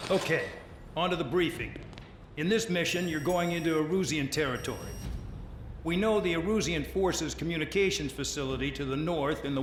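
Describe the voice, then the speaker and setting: A man acts as a scornful air force commander from a video game, barking clipped orders at a penal unit. His voice sits slightly high and rings in a roomy, echoing space over faint noise. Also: natural voice. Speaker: military base commander. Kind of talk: video game cutscene acting, military briefing. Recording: roomy echo, background noise.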